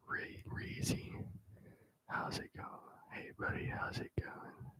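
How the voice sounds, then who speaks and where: A man's voice: slow, bright, forceful tone. Male ASMR streamer, whispered delivery, loose slangy chat, close on the mic. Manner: whispering, casual and rambling, slangy. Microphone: close microphone.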